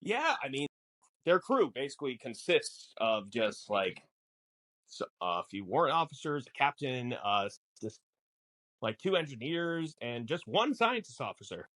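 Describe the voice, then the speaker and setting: A man's voice: mid-range voice, sing-song, unhurried, fairly bright tone, no echo. A man co-hosting a podcast, explaining things casually in an emphatic, rambling way. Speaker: podcast co-host. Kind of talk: casual film discussion podcast.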